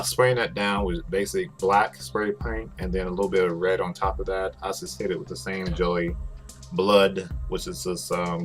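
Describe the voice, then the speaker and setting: Low-voiced man, casual and sweary. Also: a bit bright. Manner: casual, rambling, swears freely. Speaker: host, prop maker. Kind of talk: casual craft tutorial narration. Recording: music behind the voice.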